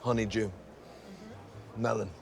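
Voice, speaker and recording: An actor playing a smug, passive-aggressive, controlling boyfriend in film comedy dialogue, self-important and understated. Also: male voice, fairly low pitch, level tone, slow, creaky, noisy background. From Ireland. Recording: music behind the dialogue. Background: music.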